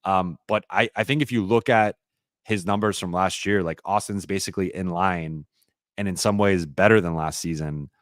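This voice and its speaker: Deep-voiced man hosting a casual live Q&A. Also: steady tone, moderate pace, no echo, studio quality. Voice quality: slightly raspy voice. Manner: rambling, many fillers and restarts. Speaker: sports reporter hosting a live Q&A stream.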